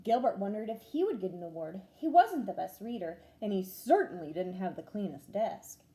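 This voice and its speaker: A woman teacher reading a children's storybook aloud in an expressive, clearly paced way, acting out the dialogue. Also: medium pitch, normal speed.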